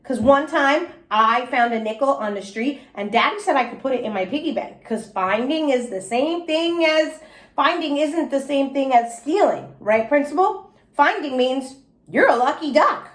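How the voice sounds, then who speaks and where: A woman's voice: sits high, animated, moderate pace. A woman voicing a chatty, dramatic kindergarten girl who talks emphatically in childish grammar for a storybook. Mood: curious, chatty. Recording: echoey room.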